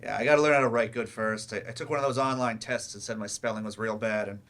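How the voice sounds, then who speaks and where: A man playing a modest working adult student in a staged play reading, with casual, unpolished, low-key speech. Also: steady tone, fast, fairly bright tone. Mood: humble.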